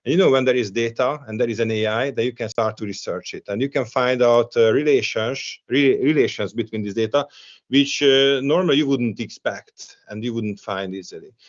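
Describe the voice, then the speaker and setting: A man's voice: medium pitch, lo-fi sound. A man with Hungarian as his first language, speaking as a panelist at a round table, hesitant, with many restarts and fillers.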